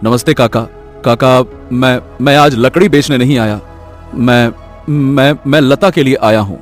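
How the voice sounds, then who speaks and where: A man's voice: mid-range voice, unhurried, soft background music, close to an everyday voice. A man voicing a poor, earnest and anxious young woodcutter in a cartoon story, speaking hesitantly. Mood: nervous, earnest. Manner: hesitant, stammering, pleading. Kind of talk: animated story voice acting.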